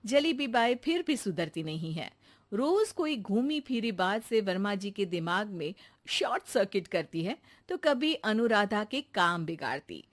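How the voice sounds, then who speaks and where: A woman's voice: medium pitch, big pitch swings, unhurried. A woman narrating a cartoon story as a scripted storyteller.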